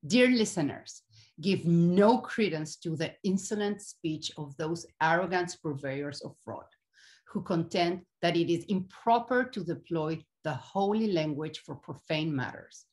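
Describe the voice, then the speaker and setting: A woman's voice: on the low side, measured pace, darkish tone, natural voice. A middle-aged woman playing a proud, regal Wisdom figure in a grandiose theatrical monologue. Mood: proud, declamatory. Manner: grandiose, declamatory. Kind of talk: theatrical monologue from a translated Renaissance comedy.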